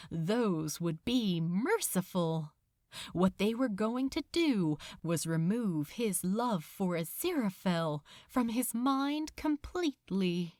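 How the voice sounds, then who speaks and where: Woman narrating a story, real slow and dramatic, voice swoops around a lot, dry close sound. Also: mid-range voice, no echo. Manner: theatrical, intense, deliberate. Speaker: podfic narrator. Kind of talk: dramatic scripted fiction narration.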